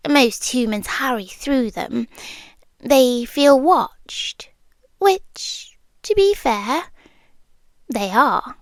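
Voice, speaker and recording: Casting: woman to play possessive British creature queen for ASMR roleplay; teasing, hushed delivery, extremely slow pace, close dry sound. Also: high-pitched, animated, no echo, exaggerated voice. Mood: fond, teasing. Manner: slow, hushed, teasing, often dropping into whispers. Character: possessive immortal monster queen, amused and coaxing, wanting a human husband.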